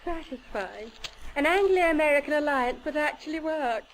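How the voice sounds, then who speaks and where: An actress playing a grand, spirited elderly English aristocrat in a video game, theatrical and imperious. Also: female voice, sits high, animated, normal speed, slight background noise, rough recording. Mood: imperious relish. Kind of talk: video game character acting.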